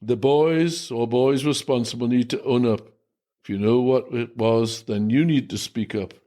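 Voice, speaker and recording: A man voicing a flustered, stern Irish priest who runs a boys' school, stammering and then shouting in rage. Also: mid-range voice, steady tone, unhurried, natural voice. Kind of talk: storybook reading.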